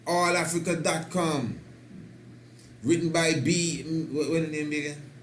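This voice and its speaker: A man hosting a talk, speaking slowly and emphatically and building to excited declarations. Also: fairly high pitch. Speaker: host. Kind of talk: preaching talk. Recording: roomy echo with faint noise.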